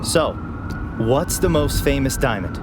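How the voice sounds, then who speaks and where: An actor playing an eager, earnest paramedic obsessed with crime theories in an audio drama. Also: male voice, medium pitch, animated, measured pace, natural voice. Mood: speculative. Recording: clear vehicle and siren noise behind. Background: ambulance and siren sound effects.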